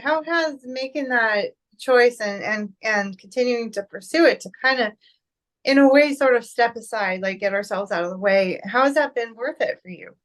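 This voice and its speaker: A woman hosting a casual interview, asking questions and giving frequent soft encouraging responses. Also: on the high side, normal speed. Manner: supportive listener, frequent soft "mm-hmm" and "yeah" backchannels. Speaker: interview host.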